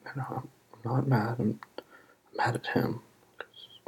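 ASMR whisper, very breathy, mid pitch, soothing, repetitive reassurance. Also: steady tone, measured pace, rough recording. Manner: soft, soothing, repeats reassurances. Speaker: roleplay performer as a partner. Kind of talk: whispered ASMR comfort roleplay.

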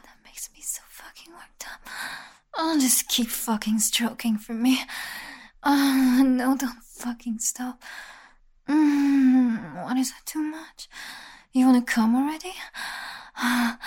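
Slow, whispering high-voiced woman. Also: husky. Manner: soft whispering, commanding. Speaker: audio roleplay performer. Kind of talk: intimate scripted audio roleplay.

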